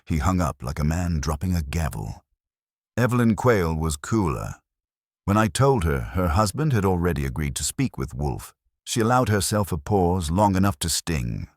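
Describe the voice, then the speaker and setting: Very deep-voiced male story narrator. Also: very animated, moderate pace, dry recording, clean studio sound. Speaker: audiobook narrator. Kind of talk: scripted first-person detective story narration.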